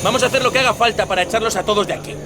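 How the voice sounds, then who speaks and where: Actor as cocky, high-pitched skinhead gang leader from Spain. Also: male voice, rapid-fire, close to an everyday voice. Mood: aggressive. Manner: swaggering, coarse, swearing. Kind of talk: audio drama acting. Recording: noisy crowded bar scene.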